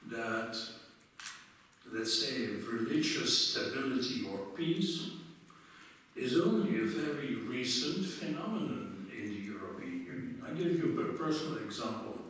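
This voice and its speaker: An older man from the Netherlands and Belgium, a panelist giving measured, reflective answers with wry asides in a panel debate. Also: medium pitch, animated, fairly bright tone, slight background noise. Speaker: panelist, special envoy. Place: large conference hall. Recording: echoey hall, voice far off.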